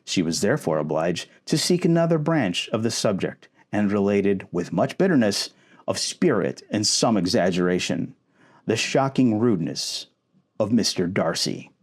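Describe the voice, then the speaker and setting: A man reading a novel aloud in an expressive ASMR boyfriend roleplay style. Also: on the low side, normal speed.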